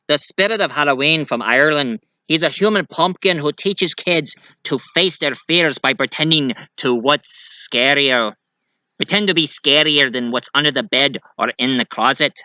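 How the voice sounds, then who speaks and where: Bright, halting man telling his stories. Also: fairly high pitch, clean tone, dry recording. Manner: halting, repeats words and phrases. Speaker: video creator and amateur writer. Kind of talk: casual rambling talk about own stories.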